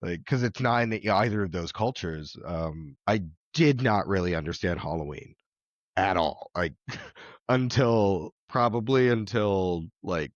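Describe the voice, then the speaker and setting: Low-voiced man, reflective and soft-spoken. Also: expressive, a little slow, no room sound, lo-fi sound. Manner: reflective, trailing off with many ums and soft laughs. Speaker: podcast guest. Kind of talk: candid personal conversation.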